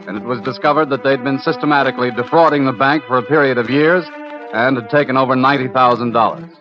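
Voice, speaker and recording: A male actor plays a matter-of-fact agent narrating a 1950s radio crime story. He is measured and factual. The old recording is dull, with music playing underneath. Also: mid-range voice, close to an everyday voice.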